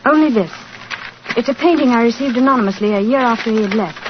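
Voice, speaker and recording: A woman's voice: a little high, fast talker, natural voice. An actress playing an earnest young Englishwoman, pleading in 1940s radio drama style. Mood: earnest, anxious. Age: young adult. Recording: muffled, noisy 1940s radio recording.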